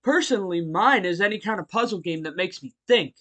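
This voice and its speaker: A man acts out a grown martial-arts hero who teaches, bookish and friendly. His voice sits high for a man and swings animatedly up and down. He addresses the listener politely in an audio roleplay, pausing hesitantly between phrases. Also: animated, bright, forceful tone. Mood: keen, friendly.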